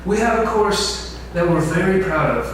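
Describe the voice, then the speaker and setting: A man's voice: high voice, animated, normal speed. Man lecturing in a very echoey room. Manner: asks the room questions. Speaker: instructor. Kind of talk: info session lecture. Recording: very echoey room, distant mic, faint noise.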